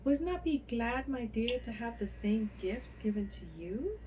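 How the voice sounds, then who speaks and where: A woman voicing a greedy, excitable middle-aged mother with loud, shrill exclamations. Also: a little slow, highs cut off, a little hiss or hum. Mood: shrill excited.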